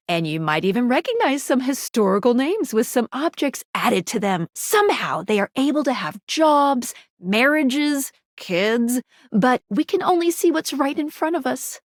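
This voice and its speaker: A woman's voice: high voice, no echo, studio quality. An actress voicing a quirky, flirty, talkative female pair of magical glasses in a video game, playfully explaining things. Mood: earnest, chatty. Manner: chatty, playful, eagerly explaining. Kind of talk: video game character dialogue.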